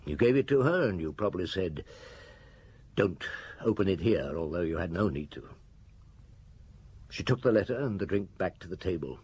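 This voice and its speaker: An actor in a radio crime drama playing a middle-aged, world-weary but compassionate police chief, husky and measured, speaking deliberately. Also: male voice, low-pitched, animated, darkish tone. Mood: grave, probing.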